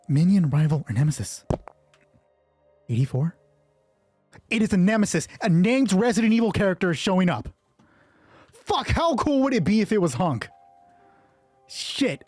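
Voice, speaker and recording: A man's voice: a little high, dark tone, breathy edge, no room sound. A man narrating an improvised solo tabletop game, thinking aloud casually and swearing freely. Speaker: solo roleplay player and narrator.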